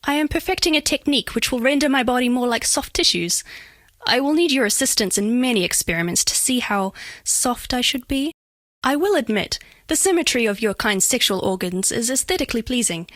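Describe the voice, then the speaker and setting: A high-pitched female alien of living diamond for a video game. She is bashful yet flirtatious, curious and literal-minded, with formal, carefully precise wording. The recording is very dry, with no room sound. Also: expressive, moderate pace, fairly bright tone, no room sound. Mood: curious, coy. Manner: precise, stilted formal diction, earnest, coyly playful. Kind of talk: scripted game character voice lines.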